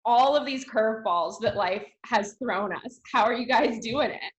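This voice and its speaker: A woman hosting an entertainment interview. Her questions are friendly and conversational. Her voice sits slightly high. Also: moderate pace, muffled recording. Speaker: entertainment show host.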